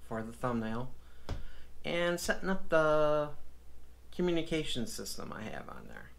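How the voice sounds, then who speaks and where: A man's voice: a little high, huge pitch swings, unhurried, gravelly. A man filming a casual unboxing vlog in his home office, talking in a rambling, chatty way and often correcting himself. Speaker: motorcycle vlogger.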